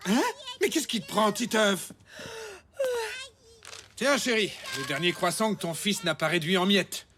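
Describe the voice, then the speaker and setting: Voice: mid-range voice, a little slow, noisy background, close to an everyday voice. An actor playing a friendly, easily baffled parent with a casual, exasperated manner in a French cartoon. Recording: music behind the voice.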